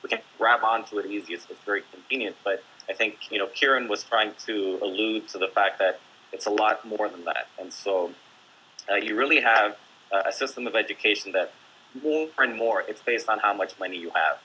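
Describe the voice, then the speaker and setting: A man's voice: on the low side, brisk, sharp tone, slight background noise, low-quality recording. A man, a teacher, speaking as a guest on a discussion panel, explaining earnestly with many fillers.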